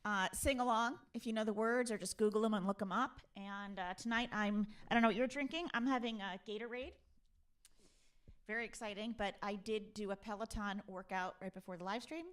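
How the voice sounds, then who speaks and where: A woman's voice: medium pitch, fairly quick, smooth. A woman hosting a casual live stream, chatting in a playful, conversational way. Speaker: live stream host and musician.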